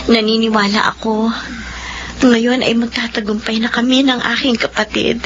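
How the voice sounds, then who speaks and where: A woman's voice: fairly high pitch, little pitch movement, normal speed, muffled recording, a little hiss or hum, lightly acted. A woman playing a devoted, long-suffering elder sister in a Filipino radio drama, with an emotional, melodramatic delivery that can be tearful or fierce. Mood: grateful, hopeful. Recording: band-limited radio drama with loud music.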